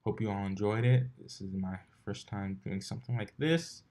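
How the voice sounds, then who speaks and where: A man's voice: lowish voice, normal speed, vocal fry, mellow. A man reading fan fiction aloud as an amateur narrator, sometimes stumbling and rereading phrases.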